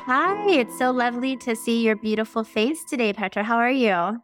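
A woman's voice: a little high, expressive, moderate pace, music in the background. Effusive woman podcast host. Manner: flowery, effusive scripted delivery. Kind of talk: podcast interview, read intro.

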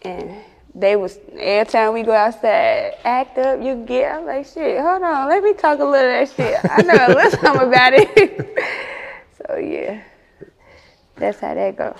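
A woman's voice: high-pitched, very animated, normal speed, gravelly, big-room sound. A woman with a Chicago accent chatting casually in an interview as a rapper, with slangy, sweary talk. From Chicago, United States.

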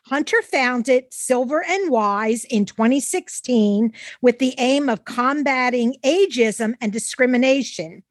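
A woman's voice: sits high, no room sound, studio quality. A woman, interviewing conversationally as a podcast host. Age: 70s.

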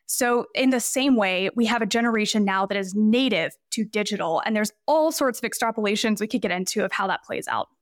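A woman's voice: mid-range voice, brisk, hardly any pauses, no echo, studio quality. A woman in her twenties, a podcast guest, talking casually and explaining her ideas at length with examples. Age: 20s. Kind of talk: casual interview talk.

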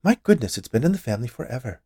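Man voicing a chirpy high-pitched plump woman confectioner. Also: big pitch swings, very fast, warm tone, slightly rough, no room sound, studio quality. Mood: chirpy, curious. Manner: chirpy, gushing, inquisitive. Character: plump cheerful chatty woman confectioner, nosy and sweet. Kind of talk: audiobook character voice.

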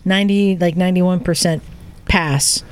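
An enthusiastic woman hosting a podcast, telling a story casually. She recounts what she saw with open excitement and a conversational flow. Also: fairly low pitch, moderate pace, smooth. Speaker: podcast host recounting a trip.

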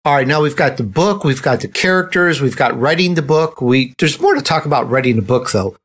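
A man's voice: a little high, big pitch swings, a little fast, a bit bright, breathy edge. A man hosting a conversational interview, talking as an author among fellow writers. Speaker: interview host and nonfiction author.

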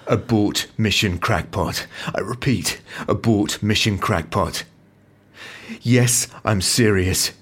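Low, husky, anxious agent. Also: male voice. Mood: urgent, halting. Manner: urgent, halting, tense. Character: anxious male secret agent calling off a mission. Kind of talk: audio comedy drama acting.

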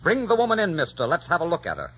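An actor playing a ruthless, vengeful pirate captain in 1940s radio style, barking orders imperiously. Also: male voice, a little high, wildly swooping pitch, very fast, husky, full character voice. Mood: scornful, vengeful. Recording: dull, noisy 1940s radio recording.

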